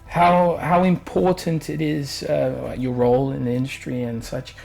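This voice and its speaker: A man's voice: mid-range voice, flat delivery, unhurried, gravelly, darkish tone, faint music behind, rough recording. A man with a Portuguese accent hosting a podcast interview, who keeps affirming with "yeah" and asks long winding questions. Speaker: podcast host.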